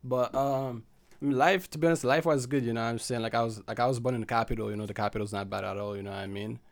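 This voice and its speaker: A man's voice: mid-range voice, fairly even intonation, racing. A young man from Haiti, a guest chatting casually in a laid-back, slangy, rambling way full of fillers. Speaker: podcast guest. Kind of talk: casual chat.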